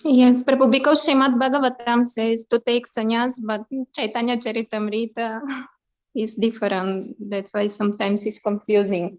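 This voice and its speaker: A woman's voice: fairly high pitch, fairly even intonation, moderate pace, darkish tone, low-quality recording. A woman taking part in an online Q&A who asks questions deferentially and answers with brief agreement. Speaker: participant asking questions.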